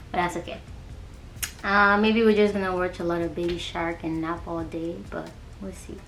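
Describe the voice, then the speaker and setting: A woman's voice: lowish voice, level tone, normal speed, a bit bright. A woman in her twenties chatting casually on her vlog, rambling and giggly, with lots of "like". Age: 20s. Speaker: vlogger. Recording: roomy with background noise.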